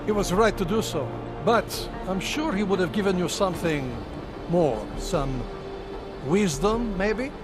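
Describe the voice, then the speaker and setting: An earnest rebel gatekeeper. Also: male voice, sits high, lively intonation, measured pace, loud music behind the voice. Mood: wary. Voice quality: earnest, admiring, reflective. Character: loyal augmented male rebel gatekeeper, earnest. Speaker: rebel guard. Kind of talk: video game cutscene acting.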